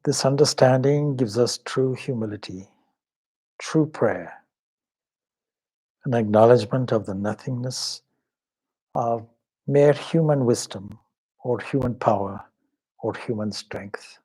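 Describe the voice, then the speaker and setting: A man leading a guided meditation as a swami, speaking in a measured, solemn, devotional way with pauses between short phrases. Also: mid-range voice, dark tone, husky.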